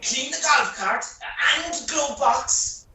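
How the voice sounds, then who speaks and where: A woman playing a careless boss in a radio play, giving halting, offhand orders. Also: high-pitched, lively intonation, bright, forceful tone, exaggerated voice. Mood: bossy, evasive. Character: brisk, careless boss handing out chores. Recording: very echoey, distant, faint noise.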